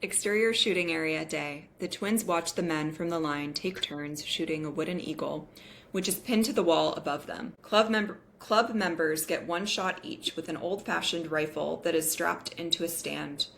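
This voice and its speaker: A woman's voice: low-pitched, normal speed, creaky, a bit bright, slight background noise. A woman reading screenplay stage directions as narrator in scripted table-read style.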